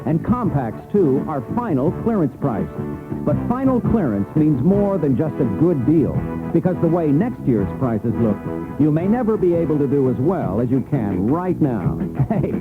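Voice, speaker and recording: An upbeat male announcer reads a car ad with big swings in pitch. Loud music plays behind him, and the sound is thin, tinny 1970s AM radio. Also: big pitch swings, normal speed. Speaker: commercial announcer. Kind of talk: upbeat car commercial read. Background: loud advertising music.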